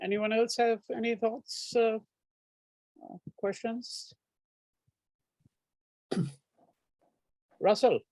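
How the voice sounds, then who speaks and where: Female moderator, slow and halting, close dry sound. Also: a little high, steady tone, a little mellow, dry recording, rough recording. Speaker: discussion moderator.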